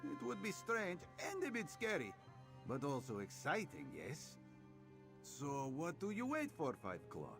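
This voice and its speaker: A man's voice: on the high side, wildly swooping pitch, unhurried, exaggerated voice. A man playing a suave, affectionate cat-folk rogue in a video game, with a teasing, theatrical way of talking. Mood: playful. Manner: third-person speech, teasing, theatrical swoops. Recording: game music behind the voice.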